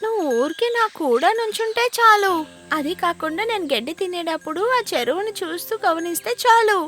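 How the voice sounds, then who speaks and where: Very high-pitched childlike cartoon calf. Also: female voice, expressive, fairly quick, a bit bright, a little hiss or hum. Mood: urgent, complaining. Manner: childlike, exclamatory. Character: playful, hungry, wary young calf in a kids' cartoon. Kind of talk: animated children's cartoon dubbing.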